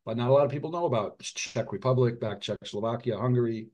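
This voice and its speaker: A middle-aged man, an author and physician, speaking earnestly in an interview, often restarting his sentences and dropping quiet at heavy moments. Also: medium pitch. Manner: earnest, halting, frequent restarts and fillers. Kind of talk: reflective interview conversation.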